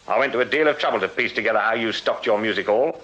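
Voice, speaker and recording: An actor playing a shrewd Victorian police sergeant in a radio drama, speaking formally and with insistent authority. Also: male voice, lowish voice, fast, a bit bright, muffled recording, natural voice. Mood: cool, dry. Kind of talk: full-cast radio drama acting.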